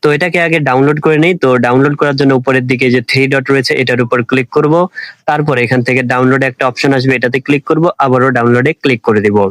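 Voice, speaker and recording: A man's voice: medium pitch, fairly bright tone, no room sound. A man hosting a tech tutorial, narrating step by step and addressing viewers directly as friends.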